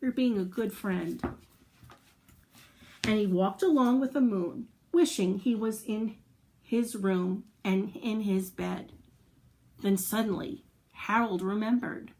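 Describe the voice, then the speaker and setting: A woman's voice: mid-range voice, slow pace, a little mellow, a little hiss or hum, poor audio. A woman hosting a children's storytime, telling a story and chatting to young listeners in an encouraging way with simple explanations and questions. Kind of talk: read-aloud storytelling and chat to young children.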